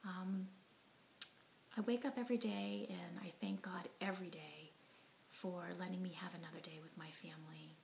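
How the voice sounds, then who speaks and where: A woman in her forties speaks straight to a camera close in front of her, earnest and reflective, her voice sitting a little low with a gravelly edge. She often drops to a soft, breathy tone and fills pauses with ums and you knows. A faint hiss runs under the recording. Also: steady tone, rough recording. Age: 40s. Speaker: heart attack survivor telling her story. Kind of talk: personal testimony to camera. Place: living room at home, indoors. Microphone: device camera at arm's length, sitting close.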